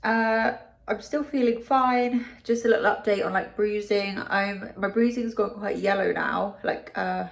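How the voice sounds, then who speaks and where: A young woman from the UK talking casually in a video diary, her nose blocked and congested, pausing for breath as she chats with many ums and likes. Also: medium pitch, creaky, roomy sound. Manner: chatty, lots of ums and likes. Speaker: vlogger.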